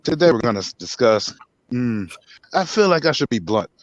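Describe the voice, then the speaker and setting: A man's voice: medium pitch, very animated, normal speed, no echo, lo-fi sound. A man chatting casually on a live stream, joking crudely and laughing often. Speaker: stream co-host.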